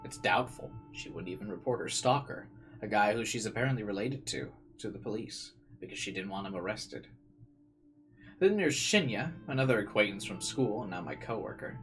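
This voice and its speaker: A man's voice: on the low side, lively intonation, moderate pace, a bit bright. A man playing a visual novel, reading its narration aloud dramatically and adding casual commentary. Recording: game music behind the voice.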